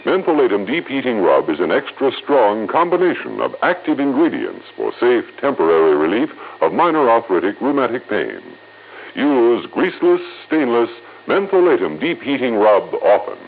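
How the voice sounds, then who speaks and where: A man reading a scripted vintage radio advertisement as a commercial announcer, measured and emphatic. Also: medium pitch, sing-song. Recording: tinny old radio recording.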